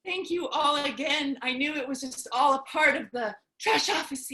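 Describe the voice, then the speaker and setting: A woman's voice: sits high, moderate pace, sharp tone. A woman playing a tender mother, the last woman on earth, in a staged reading. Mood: grateful. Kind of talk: staged reading, storybook narration.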